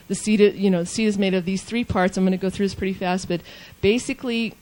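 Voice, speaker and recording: A woman who farms organically and studies for a doctorate, giving a conference talk over slides, quick and informal with many ums and restarts. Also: mid-range voice, darkish tone, rough recording. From New Mexico. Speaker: panel speaker, organic farmer and doctoral student. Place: conference hall. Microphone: handheld mic.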